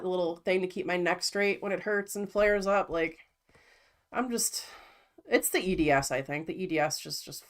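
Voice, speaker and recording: A woman's voice: medium pitch, fairly bright tone. A woman streamer giving blunt, profane, exasperated reaction commentary.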